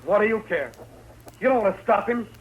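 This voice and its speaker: Condemned young cowboy, high strained American voice, bitter, defiant, faint noise of vintage radio drama. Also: male voice, expressive, fairly quick, lo-fi sound. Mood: defiant, anguished. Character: desperate, defiant young condemned outlaw facing hanging. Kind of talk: 1950s radio western acting.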